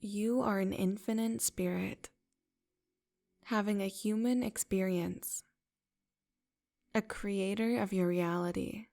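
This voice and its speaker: A woman leading a guided meditation in a soft, unhurried, affirming way. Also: medium pitch, steady tone, creaky.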